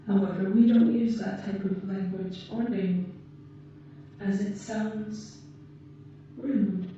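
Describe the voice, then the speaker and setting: A woman voicing a gentle, caring alien rescuer in an ASMR roleplay, speaking formally and precisely with deliberate pauses. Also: mid-range voice, fairly even intonation, lightly acted. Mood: calm, explaining. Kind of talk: ASMR roleplay, slow soothing delivery. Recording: muffled, echoey, distant, faint noise.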